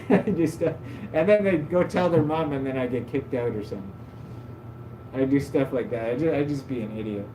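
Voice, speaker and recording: Man, mid-pitch, casual stream host, loose rambling delivery, roomy echo with a faint hum behind. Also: brisk, mellow. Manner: rambling, loose, peppered with "like" and self-mocking laughs. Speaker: livestream host. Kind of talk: casual stream chat, storytelling. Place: at his desk at home. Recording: echoey room, faint background hum.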